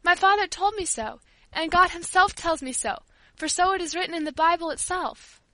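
A woman's voice: high voice, moderate pace, fairly bright tone, dry recording. A woman voicing a devout, earnest young country girl in an audiobook, polite, emotional and pleading. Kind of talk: audiobook character voice.

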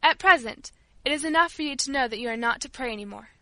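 Woman reading as a stern, commanding father, high and bright. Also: expressive, fast, no echo. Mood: stern, measured. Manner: curt, authoritarian, formal. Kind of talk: audiobook character voice.

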